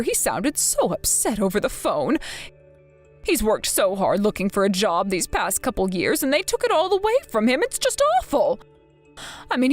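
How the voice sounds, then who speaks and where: A shrill, wheedling mother, put on by a woman in an audio drama, pleading and self-pitying. Her voice sits high and lurches up and down, and faint music plays beneath her. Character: whining, manipulative middle-aged mother. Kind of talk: dramatized story.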